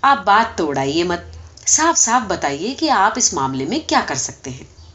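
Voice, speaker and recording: A woman's voice: fairly low pitch, sing-song, a bit bright. A woman voicing an earnest, persistent talking donkey who pleads respectfully and argues back in a story reading. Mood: insistent, indignant. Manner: pleading, deferential yet argumentative.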